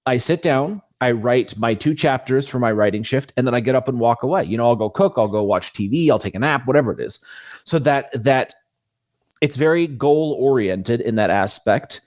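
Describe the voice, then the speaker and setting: A man's voice: mid-range voice, lively intonation, normal speed, a little mellow. A man speaking as an author guest in an interview, explaining his method in a casual, matter-of-fact way. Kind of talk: conversational explanation of own method.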